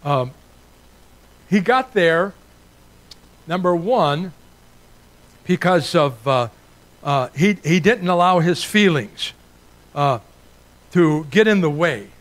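A man's voice: fairly high pitch, animated, slow pace, long pauses. Older man preaching with earnest emphasis. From Italy. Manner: earnest, emphatic with repeated rising lists. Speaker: guest preacher. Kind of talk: sermon.

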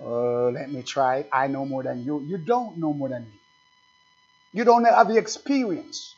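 A man preaching a sermon as pastor, swinging from quiet asides to loud, slow, emphatic scripture reading and questions put straight to his congregation. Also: medium pitch. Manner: reads scripture slowly and with emphasis. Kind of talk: fiery sermon. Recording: dull, lo-fi recording.

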